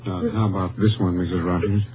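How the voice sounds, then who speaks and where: An actor playing a considerate, matter-of-fact police captain in a 1950s radio drama. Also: male voice, low voice, level tone, fast, phone-quality sound, music in the background, close to an everyday voice. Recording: thin, noisy 1950s radio recording with hum.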